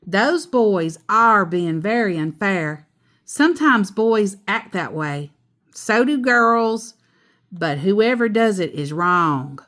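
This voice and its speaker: Woman as a measured, sensible mama bear. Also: medium pitch, lively intonation, a bit bright. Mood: reasoning. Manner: measured, instructive. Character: sensible, patient mother bear giving gentle moral lessons.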